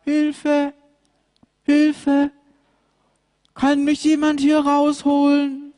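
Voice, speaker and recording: A man's voice: sits high, very slow, voice pushed up, exaggerated voice. A man doing a pleading little girl lamb. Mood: frightened. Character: little girl lamb, scared then clingy.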